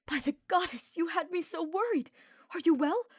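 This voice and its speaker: Woman as an earnest, high-voiced young male knight. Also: animated, moderate pace, dry recording, studio quality. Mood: frantic worry. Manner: formal, fretful, emphatic diction.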